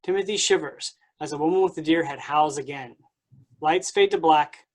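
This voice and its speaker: A woman's voice: low-pitched, normal speed, low-quality recording. A woman reading aloud and hosting at a staged play reading. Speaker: stage-directions reader and moderator.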